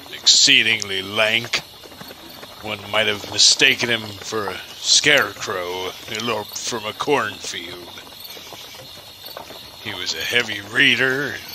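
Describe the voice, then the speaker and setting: A man playing a folksy village storyteller, narrating an old legend deliberately in an old-fashioned audio-drama style. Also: lowish voice, background noise.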